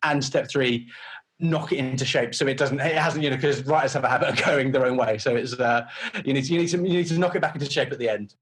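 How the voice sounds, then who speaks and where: A man's voice: medium pitch, quick pace, fairly bright tone, slightly rough. A man chatting casually as an interview guest, often repeating words as he rephrases. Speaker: interview guest, runs a creative agency.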